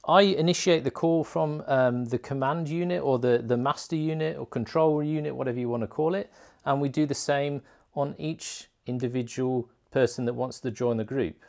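A man presents a hands-on gear tutorial as the host of a motorcycle video. His voice sits at a middling pitch, and he explains each step informally. His phrasing is chatty and colloquial. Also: fairly even intonation, moderate pace, gravelly, a little mellow. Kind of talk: informal product tutorial narration.

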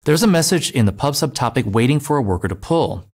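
Steady man narrating a coding tutorial. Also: medium pitch, fast talker. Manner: clear, steady step-by-step instruction. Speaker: developer advocate. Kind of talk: scripted technical narration. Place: voice-over over screen recordings.

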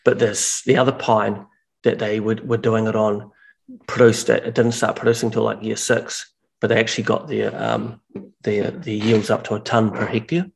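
A man's voice: fairly low pitch, flat delivery, normal speed, creaky, breathy edge. A man, a mushroom farmer chatting as a guest on a video call, explaining at length and often correcting himself mid-sentence. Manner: rambling, self-correcting explanations. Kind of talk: casual video call chat. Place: large bare indoor workshop room. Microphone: video call, headphones on.

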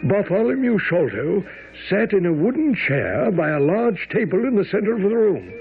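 An actor playing an earnest, excitable gentleman companion to a detective in a radio drama. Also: high voice, moderate pace. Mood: grave narration. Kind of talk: radio drama acting and narration. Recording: muffled old radio broadcast with music.

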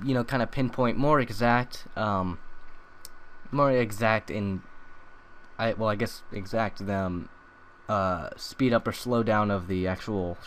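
A young man talking through a software tutorial in a loose, rambling way, full of ums and uhs. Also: fairly low pitch, unhurried, slight background noise. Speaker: tutorial maker. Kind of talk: casual screen-recorded tutorial.

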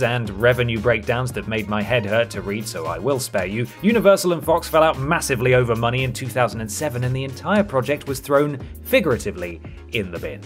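A man with a mid-pitched voice reads a scripted countdown. His delivery is wry, dropping in tongue-in-cheek asides, and music plays underneath him. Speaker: presenter of a video game list show. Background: music bed.

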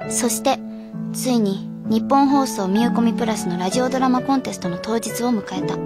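Earnest schoolgirl narrator, played by a young woman, fairly high voice, radio drama with prominent music bed. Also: natural voice.

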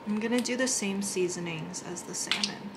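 A woman's voice: medium pitch, moderate pace, very noisy. A young woman in a casual cooking vlog, talking through each step as she cooks in a small apartment kitchen. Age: young adult. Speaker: vlogger.

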